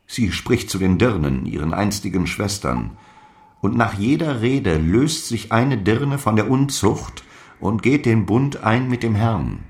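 An actor playing a zealous apostle in a radio drama, declaiming solemn, archaic prophecies and defiant last letters. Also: male voice, on the low side, lively intonation, measured pace. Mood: solemn, fervent. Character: fiery apostle dictating zealous letters, defiant before his death.

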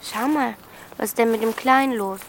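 A woman's voice: fairly high pitch, normal speed, slight background noise, lightly acted. A woman playing a blunt, bossy thirteen-year-old girl in a naturalistic radio drama. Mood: bossy, probing. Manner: blunt, colloquial, questioning.

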